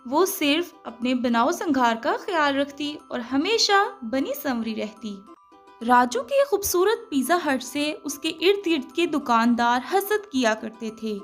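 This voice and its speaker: A woman's voice: high-pitched, animated, unhurried, faint music behind. A woman reading scripted storybook narration for a children's cartoon, as the storyteller.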